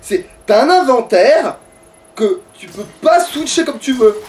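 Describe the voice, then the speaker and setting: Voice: medium pitch, very animated, measured pace, a little mellow. A gamer giving casual, sweary and grumbling commentary during a let's play. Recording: distant mic with background noise.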